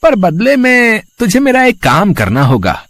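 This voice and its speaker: A greedy, scheming merchant from a moral-story cartoon, acted out by a man. The voice is fairly high and very animated, oily and showy in its politeness, recorded close and dry. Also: a little slow, no echo, full character voice. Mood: menacing, gloating. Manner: oily, showy politeness with self-satisfied chuckles. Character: greedy, showy rich village merchant, fake-humble and scheming.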